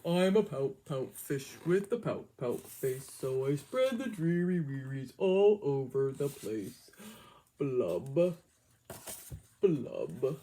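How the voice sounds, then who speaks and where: A gloomy, sulky fish voiced by a woman reading a storybook drags out his dreary refrain at a slow, heavy pace. His pitch swoops up and down through every line. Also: sing-song, mellow, a little hiss or hum, heavily acted. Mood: glum. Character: glum gloomy male fish, pouty.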